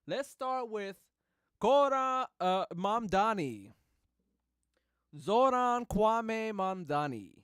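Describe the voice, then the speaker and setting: A man hosting a livestream, reacting excitedly and switching between English and Spanish, with English as his first language. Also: high-pitched, very slow, pauses often, smooth, dry recording, studio quality. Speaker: livestream host. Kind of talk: casual reaction commentary.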